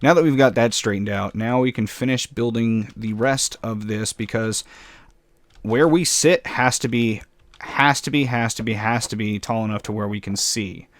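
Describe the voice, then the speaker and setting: A man casually commenting while building in a game, with a slightly raspy voice, rambling and poking fun at himself. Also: on the low side, moderate pace, no echo. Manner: rambling, self-mocking, chuckles often. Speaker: gameplay commentator.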